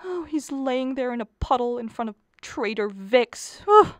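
A frantic middle-aged wife and mother of the bride, acted by a woman for a radio play, pleads in a high voice. She draws her words out with anxious melodrama, heard in a dry, close recording. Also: lively intonation, a little slow, no echo. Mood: panicked. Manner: dramatic, wailing, pleading.

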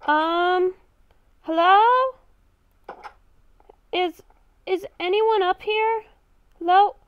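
A woman's voice: very high voice, very slow, no echo. A woman improvising in-character narration while playing a game, thinking aloud and repeating her phrases. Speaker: gamer playing a roleplay character. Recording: dull, lo-fi microphone.

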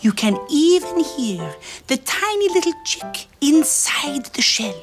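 High, lively storybook friar. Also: male voice, slow, heavily acted. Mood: playful, storytelling. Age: 30s. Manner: expressive storytelling, sprinkled with French. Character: gentle, excitable traveling friar troubadour. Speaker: traveling troubadour and puppeteer in a children's show. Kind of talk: theatrical children's show acting. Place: studio set. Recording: music bed under the voice.

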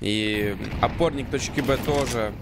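A man's voice: mid-range voice, measured pace, heavy background noise. A man co-commentating a live esports match, conversational and analytical, without a script. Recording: faint gunfire and clicks behind. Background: game gunfire, clicks.

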